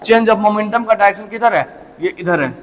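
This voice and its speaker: A man's voice: sits high, normal speed. A male physics teacher giving a classroom lecture in Hindi mixed with English, often putting questions to the class. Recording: long echo in a large hall.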